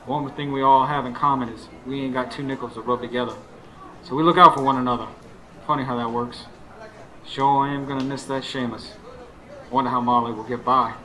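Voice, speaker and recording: A man's voice: mid-range voice, bright, forceful tone, lightly acted, poor audio. A man acting in a radio drama as a weary, haunted working-class man who narrates his troubles in folksy speech. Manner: folksy, colloquial. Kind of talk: radio drama first-person narration and dialogue. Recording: faint noise, live stage recording.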